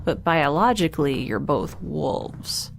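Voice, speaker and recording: A woman's voice: low-pitched, big pitch swings, slow, gravelly, soft background music, heavily acted. A woman playing a blunt, curious young woman in space, with a wry, rambling, analytical way of talking, in a sci-fi audio drama. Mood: blunt curiosity.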